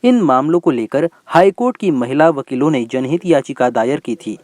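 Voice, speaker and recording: A man's voice: medium pitch, lively intonation, moderate pace, a little mellow, no echo. A man reading a scripted news bulletin as its presenter.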